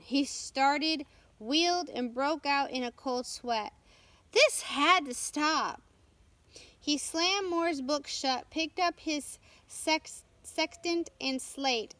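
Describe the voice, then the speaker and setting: A woman reading a storybook aloud as narrator, carefully and haltingly, with stumbles and self-corrections. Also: sits high, fairly bright tone, dry recording. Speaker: storytime reader.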